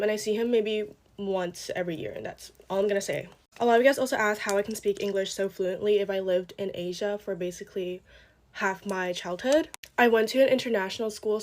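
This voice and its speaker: Candid young woman telling her story. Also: medium pitch. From Taiwan. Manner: candid, reflective, chatty. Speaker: TikTok creator. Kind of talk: get-ready-with-me storytime monologue.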